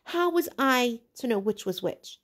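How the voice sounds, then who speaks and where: A woman voicing an indignant, frightened schoolboy in a storybook, protesting plaintively in rough colloquial grammar. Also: fairly high pitch, very animated, measured pace, voice pushed up. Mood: indignant, defensive. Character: indignant, frightened, put-upon schoolboy, pleading to be heard.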